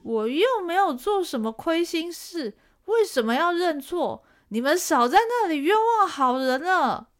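A woman voicing a defiant, sharp-tongued young boy thief who protests indignantly. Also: very high-pitched, very animated, unhurried, few pauses, full character voice. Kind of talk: radio drama acting.

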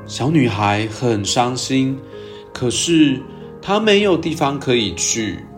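A man hosting a storytelling show, narrating for young children in a gentle, expressive way. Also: mid-range voice, slow, faint music behind. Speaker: children's storytelling podcast host.

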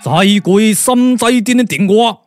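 A man's voice: very high voice, a little slow, a little mellow, no echo, studio quality, soft background music. A man voicing a haughty ancient immortal sage, a villain in a Taiwanese puppet radio drama. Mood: menacing, grand.

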